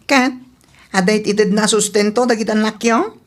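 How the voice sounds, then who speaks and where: A woman's voice: mid-range voice, steady tone, normal speed, natural voice. A woman playing a cheeky, gossipy and supportive best friend in a radio comedy drama, chummy, with an Ilocano accent.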